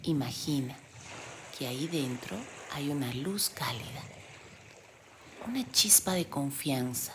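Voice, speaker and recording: A woman leading a guided meditation in a gentle, soothing delivery with pauses. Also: a bass voice, background noise. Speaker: meditation guide, yoga teacher. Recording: steady loud sea-wave background sound. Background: sound of ocean waves.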